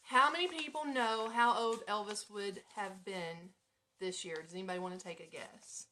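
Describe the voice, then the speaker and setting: Bright-voiced Southern US woman hosting chattily. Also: mid-range voice, moderate pace, a little hiss or hum. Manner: playful, folksy, expressive. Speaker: radio show host. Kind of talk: chatty live-stream hosting to listeners. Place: at home in an apartment.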